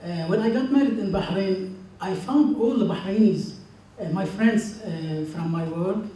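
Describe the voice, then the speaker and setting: A man in his thirties with a Lebanese accent, a native Arabic speaker, gives an earnest, halting personal speech to a congregation. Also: high voice, warm tone. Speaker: church member giving a testimony. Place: large indoor church hall. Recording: very echoey church, distant mic, faint noise.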